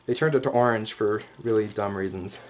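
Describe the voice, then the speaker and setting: A man's voice: fairly low pitch, brisk. A man doing an improvised, casual ASMR roleplay show-and-tell, rambling with jokey asides and swearing. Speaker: ASMR roleplay host showing props.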